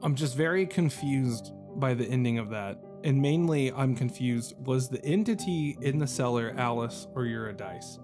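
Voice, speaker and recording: Man, mid-pitched, casual gaming commentary, rambling, self-deprecating, game music behind. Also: lively intonation, moderate pace, few pauses. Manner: rambling, thinking aloud, self-deprecating joking. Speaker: video game streamer. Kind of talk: casual let's-play commentary.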